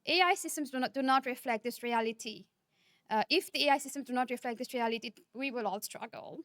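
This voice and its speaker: A woman from Nepal, giving a conference keynote speech in a measured, earnest manner, often posing rhetorical questions. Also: high-pitched, fairly bright tone, no echo, studio quality. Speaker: keynote speaker.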